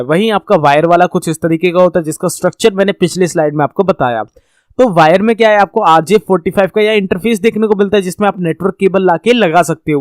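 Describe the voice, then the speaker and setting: High-voiced man teaching networking in Hindi. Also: no echo, clean studio sound. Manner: conversational teaching, addresses viewers directly, mixes English terms into Hindi. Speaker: networking course instructor. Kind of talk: explaining slides in a recorded lesson.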